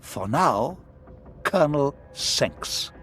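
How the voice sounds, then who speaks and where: A theatrical Sith lord from a video game, higher-voiced and animated, with swooping pitch over music. He is courtly, eloquent and scheming, every line formal. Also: very slow, full character voice. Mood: conspiratorial. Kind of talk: video game cutscene acting.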